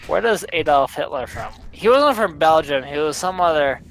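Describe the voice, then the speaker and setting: A man's voice: fairly high pitch, expressive, moderate pace. A young man with a Southern American accent, drunk, chatting while he streams a game, rambling bluntly and swearing. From Southern United States. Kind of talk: casual chat while gaming.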